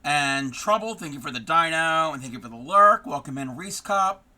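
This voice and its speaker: A hoarse man who is losing his voice, hosting a casual live stream and chatting warmly with viewers by name, with many ums. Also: fairly high pitch, lively intonation, normal speed. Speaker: craft live-streamer host.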